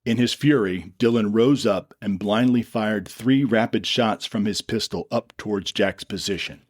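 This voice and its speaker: A man with a fairly low voice narrates a dramatic story. He reads from a script in the role of storyteller. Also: moderate pace, no room sound, studio quality.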